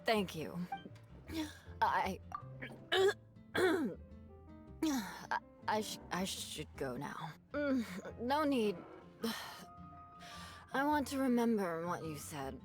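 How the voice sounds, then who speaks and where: A proud young noblewoman knight speaks slowly and haltingly, tipsy and letting her guard down. Her mid-pitched voice moves expressively up and down, with soft music behind it in a video game scene. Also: sing-song. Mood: touched, awkward. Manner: halting, sighing, hesitant.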